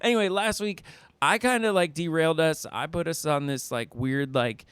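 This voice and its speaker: A man's voice: on the high side, normal speed, fairly bright tone, smooth, dry recording. A man co-hosting a podcast in casual, rambling chat, full of fillers and jokes. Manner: rambling, filler-heavy, joking. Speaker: podcast co-host. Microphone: close studio mic.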